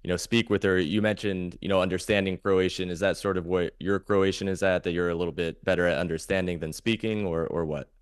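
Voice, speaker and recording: Low-voiced podcast host guy, upbeat, smooth clear voice, faint music behind. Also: fairly even intonation, brisk, hardly any pauses, dry recording, studio quality. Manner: upbeat, friendly questioning. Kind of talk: casual interview.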